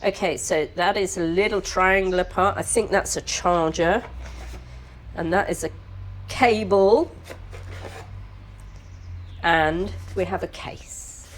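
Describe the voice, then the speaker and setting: A woman's voice: on the low side, slow pace, pauses often, a little hiss or hum, rough recording. A woman co-hosting a casual vlog, unboxing a product with enthusiastic exclamations. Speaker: vlog co-host.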